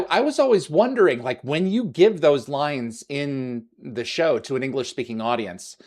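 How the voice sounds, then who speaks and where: Man, host, chatty, eager, trivia buff, video call. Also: medium pitch. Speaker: podcast host. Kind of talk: casual interview chat.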